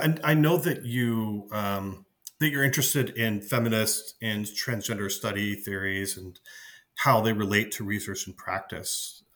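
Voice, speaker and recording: Male podcast host, low-pitched, upbeat intros. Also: fairly bright tone. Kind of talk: scripted host links and interview questions.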